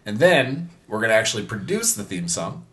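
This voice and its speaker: Male podcast host, raspy, playful showman. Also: on the low side, very animated, a little fast, a bit bright. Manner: upbeat, mock-grandiose announcing. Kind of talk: casual podcast banter.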